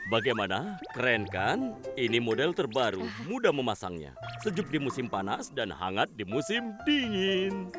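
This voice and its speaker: A friendly cartoon dad who talks with big swings of pitch and laughs easily. His voice is mid-pitched and very animated. Clear background music plays under him. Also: moderate pace. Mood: proud. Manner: lively and expressive, laughs easily. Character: friendly adult man, a father and camper. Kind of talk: cartoon voice dub.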